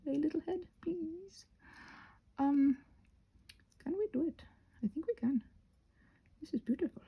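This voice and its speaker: A woman with a fairly high, very animated voice that often crackles into fry. She rambles playfully as she works through a craft tutorial, interrupting herself and thinking out loud. Her tone is soft-edged and mellow. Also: measured pace, phone-quality sound. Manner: scattered, thinking aloud, self-interrupting; playful, expressive exclamations. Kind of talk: rambling casual craft narration.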